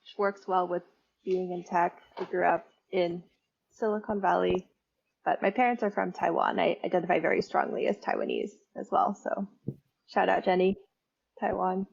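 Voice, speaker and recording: A woman from the Bay Area, a panelist speaking in a matter-of-fact, measured way. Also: lowish voice, steady tone, gravelly, slightly rough, highs cut off. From California Bay Area. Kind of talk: casual panel chat.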